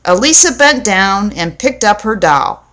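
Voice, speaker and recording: A woman reading a children's storybook aloud to young listeners in a deliberate, explanatory way. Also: medium pitch, animated, a bit bright.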